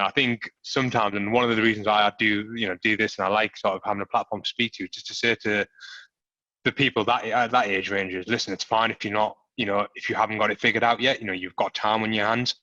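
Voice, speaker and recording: A man's voice: fairly low pitch, little pitch movement, fast talker, sharp tone, no echo, highs cut off. A man with a Middlesbrough accent hosting a casual chat on a video call, talking earnestly and leaning on lots of filler phrases. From Middlesbrough, England. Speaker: host.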